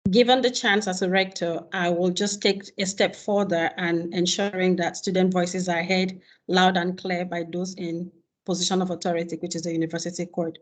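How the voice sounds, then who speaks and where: Earnest woman candidate, low voice. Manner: earnest, listing points steadily. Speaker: rector candidate. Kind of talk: prepared campaign statement.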